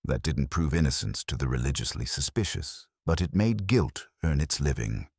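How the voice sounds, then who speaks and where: Male audiobook narrator for detective fiction: extremely low pitch, animated intonation, wry delivery, dry recording. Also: slightly rough, dry recording. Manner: wry, measured. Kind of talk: scripted first-person detective story narration.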